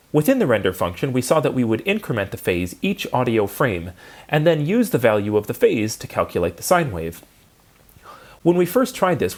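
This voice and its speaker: A man giving a prepared technical lecture, explaining clearly and methodically step by step. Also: medium pitch.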